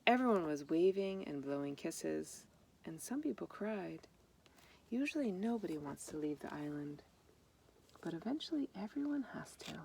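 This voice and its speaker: A woman's voice: lowish voice, very animated, moderate pace, gravelly, a little mellow, slight background noise. A woman reading a children's picture book aloud, explaining things simply and speaking directly to young listeners. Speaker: storybook reader.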